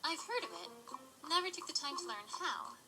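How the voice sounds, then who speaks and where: A woman's voice: sits high, animated, fairly quick, bright, forceful tone, faint music behind, heavily acted. A woman voicing a bookish young unicorn mare in an audio drama, frank and dryly confident. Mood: matter-of-fact.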